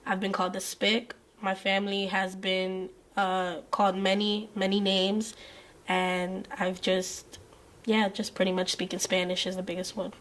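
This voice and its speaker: Young woman, steady medium pitch. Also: unhurried. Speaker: student interviewee. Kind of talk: candid personal account.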